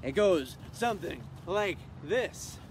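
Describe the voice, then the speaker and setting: A man's voice: sits high, animated, slow. A man playfully talking straight to children as an entertainer introducing a sing-along, recorded outdoors. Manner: playful, addressing children directly. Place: outdoors in the woods.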